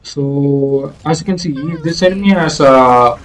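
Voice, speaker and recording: A man giving explanatory video game playthrough commentary in a halting, hesitant way. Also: a little high. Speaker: gaming video creator.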